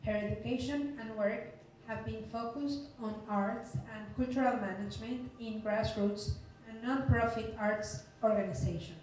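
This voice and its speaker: A woman's voice: fairly high pitch, steady tone, moderate pace, hardly any pauses, darkish tone, slight background noise. A woman moderating a book event, introducing the next speaker with frequent hesitations. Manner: hesitant, many fillers. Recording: echoey room, voice from far off.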